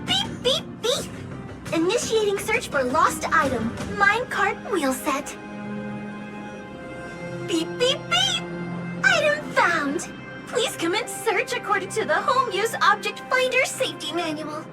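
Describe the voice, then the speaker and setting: A woman's voice: very high-pitched, big pitch swings, measured pace, full character voice. A woman voicing a chirpy, officious little robot appliance in a video game, reciting notices between spoken beeps. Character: chirpy beeping robotic lost-item finder appliance, officious. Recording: game audio under loud music.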